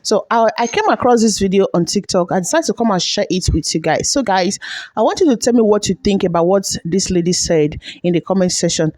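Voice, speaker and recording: A woman's voice: mid-range voice, lively intonation, brisk, a little mellow, no room sound. A woman hosting her channel, chatting casually and addressing viewers directly. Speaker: channel host. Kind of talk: casual vlog introduction.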